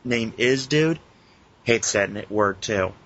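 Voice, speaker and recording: A man's voice: lowish voice, slow, a bit bright. A man co-hosting a podcast, chatting casually with the others in the group. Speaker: podcast co-host. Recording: muffled lo-fi internet podcast audio.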